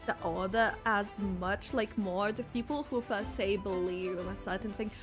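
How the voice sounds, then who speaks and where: A woman livestreamer giving casual reaction commentary on a game, chatty and expressive, often halting mid-thought. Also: medium pitch. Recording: game music behind the voice. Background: game music.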